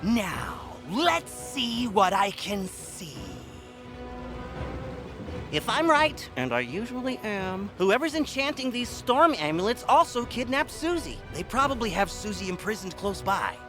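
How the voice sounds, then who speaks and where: An actor playing a self-assured, condescending wizard scholar in a fantasy game, with dramatic delivery. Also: male voice, high voice, sing-song, a little slow, music in the background. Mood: confident, intent. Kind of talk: fantasy game character dialogue.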